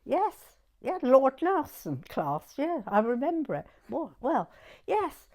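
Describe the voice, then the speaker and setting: Woman in her nineties, southern English, animated swooping pitch, unhurried, chatty oral history reminiscence. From southern England. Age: 90s. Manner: chatty, animated, repeats herself. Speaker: interviewee recalling her youth.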